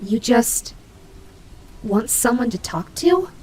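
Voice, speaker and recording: A woman's voice: a little high, very animated, takes it slowly, darkish tone, slight background noise, poor audio. A woman voicing a cute female demon bunny, proud and grudging, who stammers huffily in a scripted audio roleplay. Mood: wary confusion. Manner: indignant, stammering, huffy.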